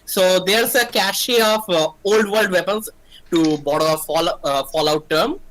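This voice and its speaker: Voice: medium pitch, unhurried, a bit bright, a little hiss or hum, rough recording. Game writer for a livestream brainstorm, mid-range voice, conversational and explanatory. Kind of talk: casual creative brainstorming discussion.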